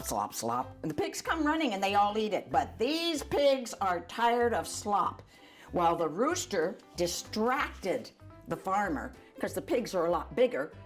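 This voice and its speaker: An older woman reads a children's storybook aloud in an animated, chatty way, adding asides for young listeners. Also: on the low side. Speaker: volunteer storyteller.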